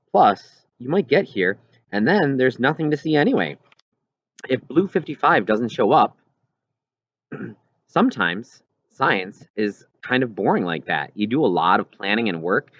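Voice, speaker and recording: Kindly whale researcher for an audiobook male reader. Reassuring and explanatory, low register, animated phrasing. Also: no room sound. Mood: apologetic, gentle. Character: kindly adult whale researcher writing an apologetic email. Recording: dull, lo-fi recording.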